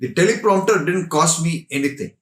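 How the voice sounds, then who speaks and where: A man's voice: on the high side, a little fast, a bit bright. A man methodically explaining equipment as the presenter of a tech tutorial.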